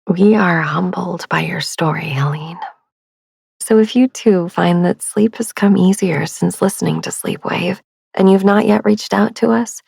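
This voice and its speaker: A woman hosting a sleep meditation podcast, speaking gently and soothingly in a soft, breathy near-whisper, unhurried. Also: lowish voice, no room sound.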